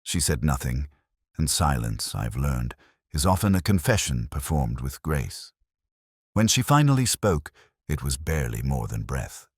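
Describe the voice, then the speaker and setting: A man with an extremely deep voice narrates a literary detective story in the first person. His delivery is measured and reflective, yet the pitch moves expressively. The sound is dry and close, with no room around it. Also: big pitch swings, slightly rough, no echo.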